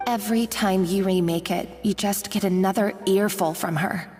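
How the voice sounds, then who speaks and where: A woman's voice: medium pitch, steady tone, normal speed, over music, lightly acted. A woman voicing an earnest, put-upon young chef in an anime game, a little tentative. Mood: weary, complaining.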